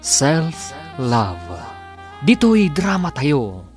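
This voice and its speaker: A man's voice: a little high, wildly swooping pitch, drawn-out words. A man with an Ilocano accent delivering dramatic scripted narration as a radio drama announcer. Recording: music bed under the voice.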